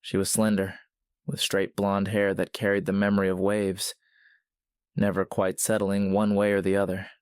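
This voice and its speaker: A man narrating a scripted story in a measured, literary manner. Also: low-pitched, monotone, a little mellow, clean tone, no room sound, clean studio sound.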